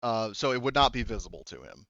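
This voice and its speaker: A man running a tabletop roleplaying game as game master, narrating off the cuff in a halting, rambling, self-correcting way. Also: fairly low pitch, expressive, gravelly, bright, forceful tone, no room sound, highs cut off. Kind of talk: improvised game narration, casual chat.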